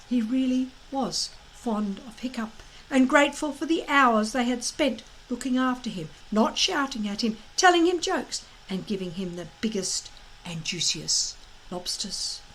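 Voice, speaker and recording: A woman reading a children's story aloud as expressive narration, with dramatic emphasis and deliberate phrasing. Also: high voice, slight background noise, low-quality recording.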